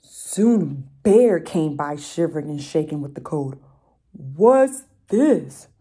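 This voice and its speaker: A young woman reads a children's story in a low, mellow voice with some huskiness. She is expressive and drops into chatty asides to explain things to young listeners. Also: unhurried, slightly rough. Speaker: storyteller reading a picture book aloud. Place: room at home, indoors.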